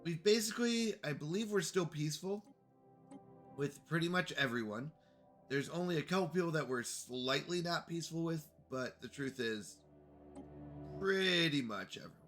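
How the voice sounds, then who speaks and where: A man's voice: on the high side, animated, moderate pace, a bit bright, faint music behind. A man with a congested voice chatting casually to viewers while playing a strategy game on a live stream. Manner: chatty, greets chat viewers.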